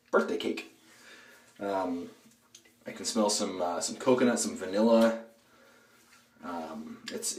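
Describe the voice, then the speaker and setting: A man with a low, hoarse voice from a throat cold, chatting in a relaxed, rambling way with plenty of ums and uhs. He talks a bit away from the mic in a bathroom, so the sound bounces around the tiled room. Faint running water and household noise sit behind him. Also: reverberant, recorded from a distance. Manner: relaxed, rambling, many fillers. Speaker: shaving video host. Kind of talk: casual product review while shaving.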